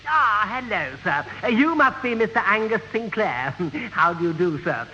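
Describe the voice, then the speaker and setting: An actor playing an effusive, genteel, fussy gentleman in a radio sitcom, with flowery, over-polite phrasing. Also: high voice, sing-song, normal speed, heavily acted. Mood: effusive, ingratiating. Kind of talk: 1970s radio sitcom acting. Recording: muffled old radio, hiss, hall reverb.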